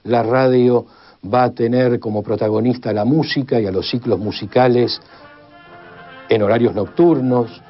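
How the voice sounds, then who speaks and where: A man's voice: medium pitch, fairly even intonation, normal speed, faint music behind. A man with an Argentine accent giving a formal expert explanation in long, list-like sentences. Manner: formal, builds long enumerations. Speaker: expert commentator on radio history. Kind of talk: measured spoken exposition. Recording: slightly dull, band-limited sound.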